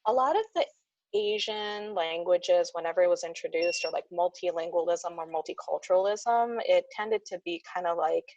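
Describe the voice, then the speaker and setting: Woman, interview guest, casual, lots of fillers, low pitch, dry sound. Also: normal speed, darkish tone, no room sound, highs cut off. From United States. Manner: chatty, many fillers and restarts, laughs often. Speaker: interview guest, speech-language pathologist.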